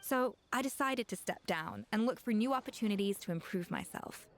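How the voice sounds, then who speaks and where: A woman's voice: medium pitch, lively intonation, a little fast, natural voice. Actress as a poised, self-assured young chef woman. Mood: composed, explanatory. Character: confident, exacting, adventurous young female master chef. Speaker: chef character in a video game. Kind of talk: video game voice acting. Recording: music behind the dialogue.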